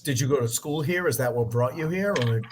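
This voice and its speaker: A man's voice: medium pitch, brisk, a little hiss or hum. A man hosting a comedy podcast, laid-back and joking with his guest in casual chat. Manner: laid-back, joking, drinking while talking. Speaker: podcast host.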